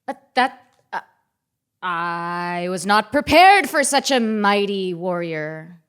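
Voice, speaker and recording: Woman as a slow, grandiose dragon slayer. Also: mid-range voice, sing-song, a bit bright, smooth. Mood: bluffing. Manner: solemn mock-epic declaiming. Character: grandiose, self-serious female dragon slayer challenger. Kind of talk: comic stage play acting before a live audience.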